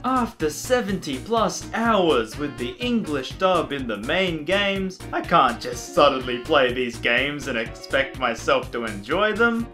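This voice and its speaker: A man's voice: fairly high pitch, pushed and bright. A man delivering opinionated, lightly scripted commentary as a video essayist, with music behind him.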